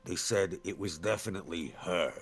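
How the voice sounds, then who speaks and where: A man's voice: sits low, moderate pace, slightly rough. A man chatting casually as a player in a tabletop roleplaying game.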